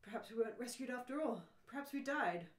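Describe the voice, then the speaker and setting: A bewildered, gloomy space castaway from a radio comedy, read by a woman, with a fairly high voice. The sound is roomy and echoey. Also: animated, fast talker, close to an everyday voice. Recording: echoey room.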